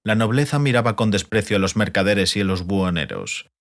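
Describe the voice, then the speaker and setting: A man's voice: on the low side, fairly quick. A man narrating a fantasy audiobook in a scripted literary style.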